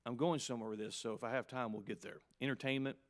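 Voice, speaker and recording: Fifties male pastor, emphatic pulpit delivery, climbs high on key points, quick asides. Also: steady tone. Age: 50s. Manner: emphatic preaching with rising, punchy peaks and quick asides. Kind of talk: sermon to pastors.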